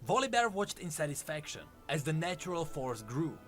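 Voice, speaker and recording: Animated male lore narrator, higher-pitched voice. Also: normal speed. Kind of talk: scripted lore storytelling narration. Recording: music under the narration. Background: background music.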